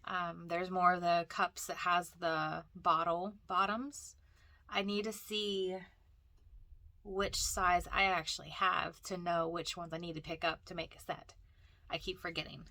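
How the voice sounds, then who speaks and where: A woman's voice: on the low side, little pitch movement, a little slow, pushed and bright, no room sound. A woman with a cold and a stuffy, nasal voice, chatting casually as she narrates a walk through a thrift store. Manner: chatty, rambling, frequent fillers and restarts.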